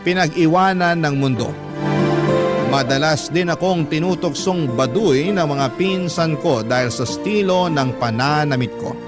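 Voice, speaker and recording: Male Filipino radio storyteller, fairly high pitch, dramatic scripted first-person narration, prominent music bed. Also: unhurried. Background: loud background music.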